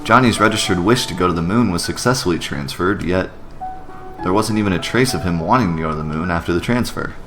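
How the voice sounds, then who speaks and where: Man voicing a weary, wry low-voiced professional. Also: quick pace, natural voice. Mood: pensive, puzzled. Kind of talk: video game character voicing. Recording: music behind the voice.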